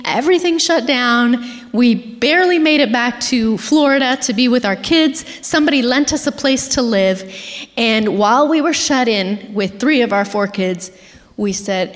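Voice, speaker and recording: A woman gives an earnest, chatty sermon in a church sanctuary. Her voice sits a little high, and she keeps turning to the congregation with questions. A long hall reverb trails every phrase. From Argentina. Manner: earnest, conversational, asks the audience questions. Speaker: guest speaker. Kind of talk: sermon storytelling.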